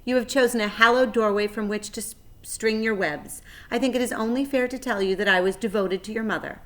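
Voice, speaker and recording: An anxious, devoted young boy pig from a storybook, voiced by a woman reading aloud. The voice is raised in pitch and full of earnest feeling, exclaiming and pleading from the heart. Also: steady tone, fairly quick, fairly bright tone, lightly acted. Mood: solemn, tender.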